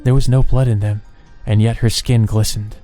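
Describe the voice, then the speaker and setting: A man's voice: on the low side, flat delivery, moderate pace, mellow, faint music behind. A man reading visual novel story text aloud as narration for a let's play.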